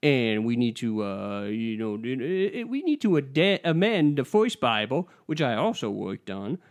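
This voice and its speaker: A man doing improvised comedy, making boastful deadpan claims in a casual, animated way. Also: mid-range voice, measured pace.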